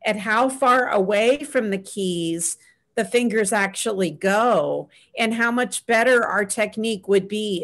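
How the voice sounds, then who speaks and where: A middle-aged woman speaking from a small home room over a webcam, talking in an earnest, advice-giving way. She often pauses with "um" as she answers questions on a video call panel, at a medium pitch. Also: a bit bright.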